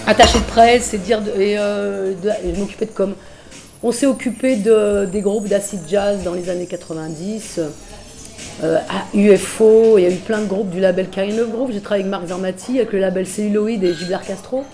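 A woman's voice: medium pitch, moderate pace. Chatty middle-aged woman musician. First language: French. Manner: relaxed, chatty, many fillers. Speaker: musician guest. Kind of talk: casual interview conversation.

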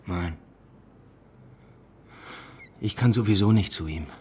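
A man's voice: low-pitched, slow, darkish tone. An actor playing a weary academic and family man, narrating a radio drama in an introspective, understated way. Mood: subdued. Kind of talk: scripted first-person radio drama narration with dialogue. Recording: music behind the voice. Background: music.

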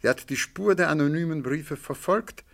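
An actor playing a composed, knowing man in a crime radio play, measured and dry with sly superiority. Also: on the high side, vocal fry, a bit bright. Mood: reasoning. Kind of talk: German crime radio drama acting.

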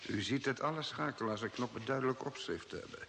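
A man's voice: mid-range voice, fairly quick, lightly acted. A man acting a formal, authoritative guide to an alien base in a radio drama. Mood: instructive. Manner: formal, instructive. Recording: noisy old radio recording, long reverb.

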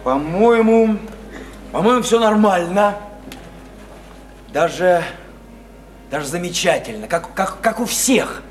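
Hesitant, slow-speaking awkward man. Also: sits high, expressive, faint music behind. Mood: halting, reassuring. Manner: hesitant, stammering, repeating his words. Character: awkward, polite visiting man, uneasy and evasive. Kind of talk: stage-style radio drama acting.

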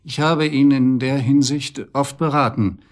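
A male actor plays a cautious financial adviser with a medium-pitched voice. He is evasive and measured, answering guardedly in radio drama style. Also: steady tone, close to an everyday voice. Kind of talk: German radio drama acting.

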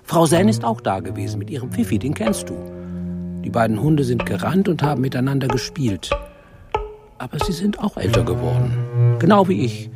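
An actor in a radio drama playing a lonely, ageing widower who talks in a meek, halting, melancholy ramble. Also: male voice, medium pitch, big pitch swings, loud music behind the voice, lightly acted. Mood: wistful, lonely. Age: older. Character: lonely, ageing widower intruding meekly for some company.

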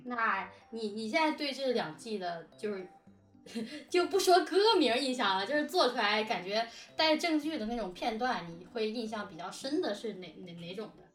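A woman's voice: a little high, expressive, moderate pace. A woman interviewing in a casual, informal chat style, a bit giggly in manner. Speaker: interviewer, drama producer. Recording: roomy, distant mic, faint music behind. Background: music.

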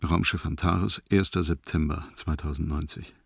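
Very deep, gravelly lone astronaut. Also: male voice, steady tone, moderate pace, full character voice. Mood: sombre, reflective. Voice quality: gravelly, husky. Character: lone awake male astronaut, sombre and resigned. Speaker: actor in a radio play. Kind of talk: German radio drama, log-entry monologue.

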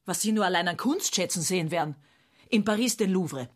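Chatty, gushing widow. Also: female voice, lowish voice, expressive, a little fast. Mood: gushing, wistful. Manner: rambling, gushing. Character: chatty, nostalgic older widow, gushing and gossipy. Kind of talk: radio play dialogue.

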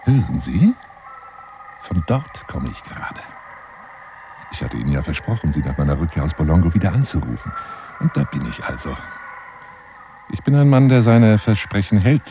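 A man's voice: sing-song, normal speed, long pauses, noisy background. An actor playing a lonely, boastful middle-aged bachelor adventurer wooing a woman by phone in a radio drama, with flowery, insinuating talk that drops into near-whispers. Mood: eager, courting. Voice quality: deep, gravelly. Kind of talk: radio drama monologue, one side of a phone call.

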